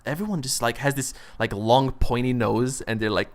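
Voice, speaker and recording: A man's voice: medium pitch, normal speed, over a noisy crowd. A man in casual, informal podcast chat about games, using plenty of slang and swearing. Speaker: gaming podcast co-host.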